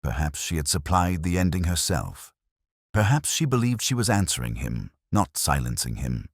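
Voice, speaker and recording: An extremely deep-voiced, melancholy detective played by a man narrates his own mystery story. His measured, literary delivery rises and falls widely as he broods. The sound is close and dry. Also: very animated, dry recording, exaggerated voice. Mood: brooding, reflective. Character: melancholy, reflective male police detective telling his story. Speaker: narrator in first person.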